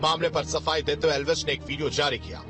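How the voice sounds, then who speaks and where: A man's voice: on the high side, level tone, quick pace. A man narrating a scripted news report in a dramatic, emphatic, sensational voice-over style. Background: loud music bed.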